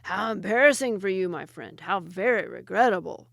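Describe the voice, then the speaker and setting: A woman voicing a mischievous, gruff male jinni hiding inside a princess. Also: mid-range voice, moderate pace, no room sound, studio quality, natural voice. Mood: teasing. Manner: teasing, then panicky.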